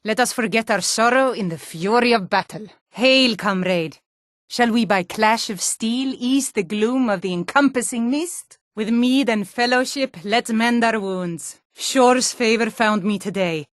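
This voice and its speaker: A woman's voice: a little high, measured pace, dry recording, clean studio sound, natural voice. A woman playing a proud, hearty Nord warrior in a fantasy game, declaiming solemnly in lofty, archaic, bardic phrases.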